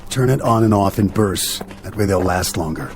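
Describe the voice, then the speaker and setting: A man's voice: sits low, expressive, normal speed. An actor playing a dutiful, suspicious junior spaceship crewman with an earnest military manner in a radio drama. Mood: earnest, steady.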